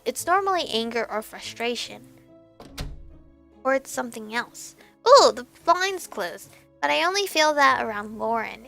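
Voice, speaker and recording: A woman reading a visual novel aloud as expressive narration, with animated reactions and some stumbling over words. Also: fairly high pitch, vocal fry. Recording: background music behind voice. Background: game music.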